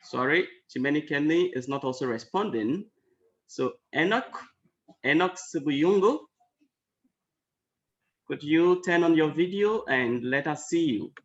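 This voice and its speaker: A man moderates an online conference, calling on participants one by one in a polite, apologetic way. His voice sits slightly high, and the call audio sounds dull and lo-fi. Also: animated, unhurried, pauses often. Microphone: video call.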